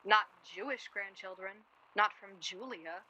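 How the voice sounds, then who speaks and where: A woman's voice: medium pitch, unhurried, pushed and bright, lo-fi sound, a little hiss or hum. An actress playing a guarded, bitter and blunt young woman in a naturalistic radio play, arguing her points plainly. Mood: blunt, insistent. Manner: blunt, argumentative. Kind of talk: radio play dialogue, naturalistic period drama.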